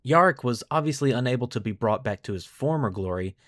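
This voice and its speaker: A man's voice: medium pitch, lively intonation, fast, a bit bright, dry recording. Man hosting a podcast, dry close sound. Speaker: podcast host. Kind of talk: lore storytelling, semi-scripted narration.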